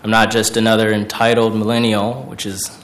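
An American man in his twenties delivering a prepared personal speech to an audience in a deliberate, declarative way. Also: lowish voice, completely flat delivery, fairly bright tone, a little hiss or hum. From Portland, United States. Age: 20s. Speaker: speaker, veteran and student.

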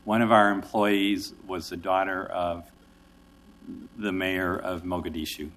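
A man with a very low voice addresses a city council on behalf of his organization. His delivery is friendly and conversational, with occasional restarts. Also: little pitch movement, unhurried, fairly bright tone, slight background noise. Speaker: nonprofit executive director. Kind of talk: public testimony at a city council. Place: council chamber.

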